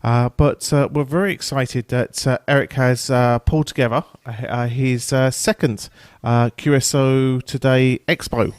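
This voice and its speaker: A British man hosting a podcast in an informal, halting way, with frequent filler sounds as he presents and interviews. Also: mid-range voice, steady tone, clear, resonant tone, no echo. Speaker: podcast host and news presenter.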